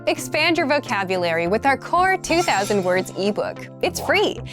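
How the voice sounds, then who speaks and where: Upbeat promo lady, high and bouncy, music playing under her. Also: sing-song, normal speed. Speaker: ad announcer. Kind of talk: scripted promo read.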